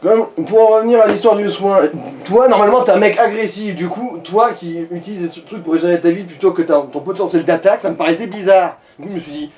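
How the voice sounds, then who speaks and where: A man's voice: high voice, steady tone, fast talker, few pauses, a little mellow. A man chatting casually about a video game as co-commentator, blunt and teasing with his friend. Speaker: co-commentator watching a friend play. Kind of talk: casual gaming chat.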